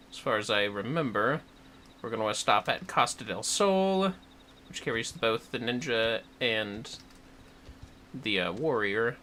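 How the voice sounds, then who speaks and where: A man's voice: on the low side, pushed and bright, slight background noise. A man hosting a gaming livestream with casual commentary, rambling a little as he plans aloud. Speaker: livestream host.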